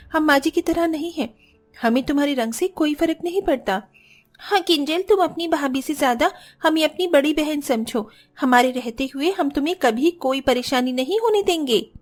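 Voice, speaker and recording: Woman acting a very high-pitched young cartoon sister-in-law. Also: normal speed, lightly acted. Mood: caring. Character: kind, protective young sisters-in-law and their gentle young student sister-in-law. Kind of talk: Hindi cartoon story acting.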